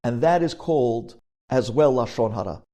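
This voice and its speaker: A man's voice: mid-range voice, lively intonation, normal speed, dark tone. A man lecturing as a rabbi in a sermon style, emphatic, repeating key phrases and mixing Hebrew terms into his English. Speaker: rabbi giving a religious lecture.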